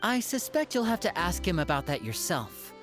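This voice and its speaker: A woman's voice: on the low side, sing-song, moderate pace, over music. An actress playing a composed, courteous young female magician's assistant in a video game, speaking politely and articulately. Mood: calm, informative.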